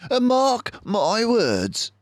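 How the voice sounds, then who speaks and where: A man's voice: extremely high pitch, huge pitch swings, drawn-out words, no room sound, voice pushed up, heavily acted. A man voicing a keen, confident mouse detective in a storybook, speaking in a precise, theatrical way. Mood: grave, urgent.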